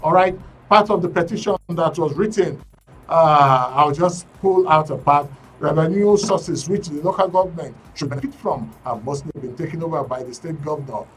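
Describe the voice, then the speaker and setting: A man's voice: fairly high pitch, moderate pace, far from the mic, soft background music, low-quality recording. A man with a Nigerian English accent hosting a current affairs show, reading news snippets with frequent fillers and restarts. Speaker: radio and TV current affairs host.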